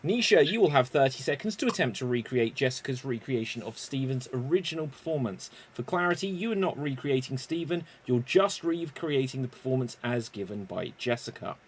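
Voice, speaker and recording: A man hosting an improv competition, explaining the rules clearly and deliberately. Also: mid-range voice, expressive. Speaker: improv show host. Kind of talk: game show hosting.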